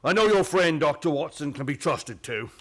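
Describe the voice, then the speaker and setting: An actor playing a plain-spoken, eager local police sergeant in a radio play, folksy, deferential and a little hesitant. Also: male voice, fairly high pitch, animated, moderate pace. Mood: grateful, obliging.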